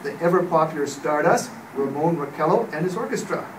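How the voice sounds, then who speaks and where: A man's voice: fairly high pitch, lively intonation, a little fast, a little hiss or hum, close to an everyday voice. A man playing a hotel dance band announcer in an old radio play, presenting with formal showmanship. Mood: genial, presentational. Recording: distant echoey room, music behind. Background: music.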